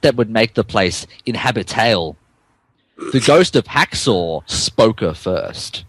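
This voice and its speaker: Male podcast narrator, lively swings in pitch, mock-dramatic, stumbling comic read, dry close sound. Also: unhurried, dry recording, rough recording. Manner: mock-dramatic, often stumbles over words. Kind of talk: comic dramatic reading and casual banter.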